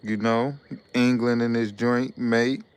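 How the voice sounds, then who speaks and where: A young man hosts a casual live stream. He talks in a loose, repetitive way as he reads out viewer comments. His voice is smooth and clean, and it stays fairly flat and even in pitch. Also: takes it slowly. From Detroit, USA.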